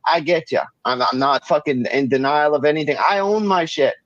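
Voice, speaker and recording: A man's voice: on the high side, fairly quick, no room sound. A man chatting casually as host, ranting bluntly, swearing and repeating himself. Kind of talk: casual chat, ranting commentary. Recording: dull lo-fi mic audio.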